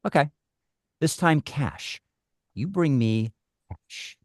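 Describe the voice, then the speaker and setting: A man's voice: on the high side, lively intonation, takes it slowly, no echo, clean studio sound. A man playing a cruel, volatile kidnapper in a script read, curt and commanding. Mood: coldly demanding. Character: menacing male kidnapper, volatile and cruel, on the phone. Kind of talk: dramatic script table read.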